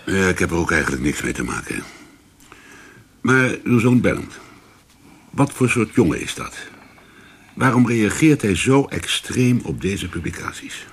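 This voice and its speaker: An actor playing a weary, conscientious police commissioner in a radio drama, with a probing, plain-spoken manner. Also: male voice, low-pitched, sing-song, a little slow, long pauses, slightly rough, exaggerated voice.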